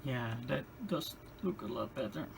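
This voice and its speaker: A man who is not a native English speaker gives casual hands-on repair commentary as host, halting and thinking aloud in a gravelly voice. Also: medium pitch, lively intonation. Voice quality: gravelly, creaky. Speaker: host of a radio restoration channel. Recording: clearly noisy home-made recording.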